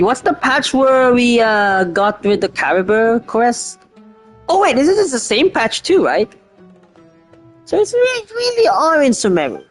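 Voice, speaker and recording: A woman streaming a game with casual, informal, reactive commentary and an excitable manner. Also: a little high.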